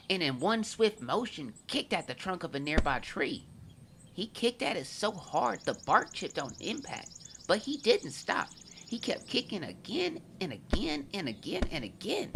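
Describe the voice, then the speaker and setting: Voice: mid-range voice, pushed and bright, slight background noise. An actor voicing a young boy who earnestly narrates events into his journal in a scripted audio drama. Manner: earnest, confiding, storytelling.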